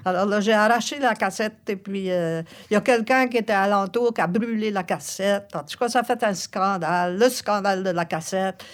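A woman's voice: mid-range voice, a little fast, few pauses. An older woman with an Acadian French accent, a singer-songwriter telling stories in an interview, laughing often. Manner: lively storyteller, laughs often.

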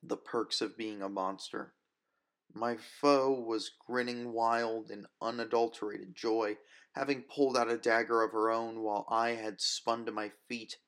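A man's voice: lowish voice, steady tone, unhurried, a bit bright. A man reading first-person fiction as a dramatic, scripted audiobook narration.

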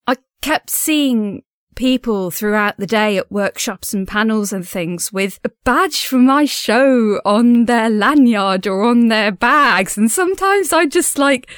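A woman's voice: on the high side, animated, takes it slowly, a bit bright, no room sound, studio quality. A woman recording a casual personal audio diary as a podcast host, speaking in an earnest, enthusiastic and effusive way.

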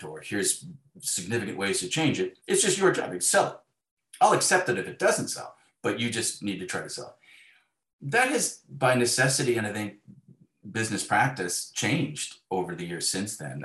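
Echoey, low-voiced man in conversation. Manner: animated storytelling, acting out imagined dialogue. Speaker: guest author. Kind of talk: conversational interview. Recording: roomy, echoey sound.

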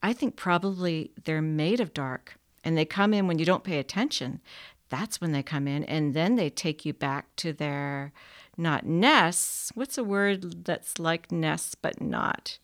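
A woman's voice: low-pitched, unhurried. A woman voicing a precocious, sober little boy who talks in an earnest, matter-of-fact way.

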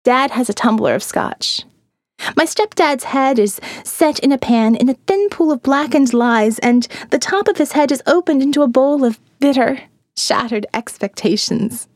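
Woman narrating a dark dreamy novel. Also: animated, normal speed, dry recording, studio quality. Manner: dreamy, eerie, drops to hushed low tones. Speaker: audiobook narrator. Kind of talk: scripted first-person narration.

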